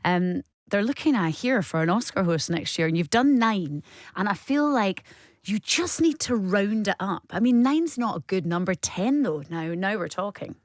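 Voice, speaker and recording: A woman's voice: mid-range voice, expressive, normal speed, dry recording, studio quality. A woman doing a chatty, enthusiastic radio interview as the interviewer.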